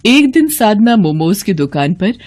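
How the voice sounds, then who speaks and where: A woman storyteller narrates in a medium-pitched voice. Her delivery is scripted narration for a moral bedtime tale. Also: sing-song, measured pace, a little mellow.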